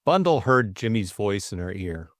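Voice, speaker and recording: A man's voice: lowish voice, big pitch swings, normal speed, no room sound, studio quality. A man narrating a classic novel as an audiobook from a script.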